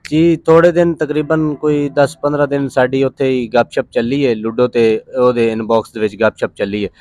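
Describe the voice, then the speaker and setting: A man's voice: medium pitch, flat delivery, normal speed, a little mellow, no room sound. Pakistani Punjabi man, casual and dry-sounding. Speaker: interview guest. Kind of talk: casual conversational storytelling.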